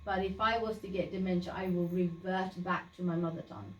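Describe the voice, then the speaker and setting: A woman's voice: on the low side, steady tone, a little fast. Woman presenting a community workshop, sylheti her first language, chatty and plain, lots of ums, far from the mic. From Bangladesh. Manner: chatty, explaining plainly with many fillers and restarts. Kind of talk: informal awareness talk to a group. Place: community meeting room. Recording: echoey room, voice from a distance.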